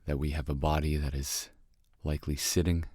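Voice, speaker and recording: A man leading a guided meditation in a calm, gentle, measured manner with long pauses. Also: a bass voice, no room sound, clean studio sound. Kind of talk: guided meditation, slow and soothing instruction.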